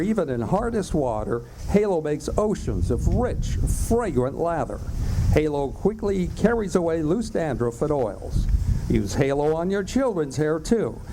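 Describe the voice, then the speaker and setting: A man's voice: fairly high pitch, background noise. An American man reading scripted old-time radio advertisements in an upbeat, salesmanlike announcer style. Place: live amateur stage performance in a cafe.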